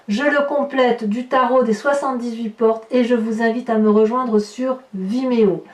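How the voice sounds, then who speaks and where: A woman's voice: fairly high pitch, a little mellow. A woman giving an informal tarot reading, talking directly to viewers and thinking aloud with rhetorical questions and little tag words. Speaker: tarot reader hosting a horoscope channel. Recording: roomy echo, distant microphone.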